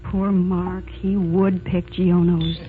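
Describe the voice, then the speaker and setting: A woman's voice: fairly low pitch, flat delivery, takes it slowly. An actress playing a proud, outspoken young working-class woman in a 1940s radio drama, by turns tender and blunt. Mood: wistful, bitter. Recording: muffled 1940s radio recording with music.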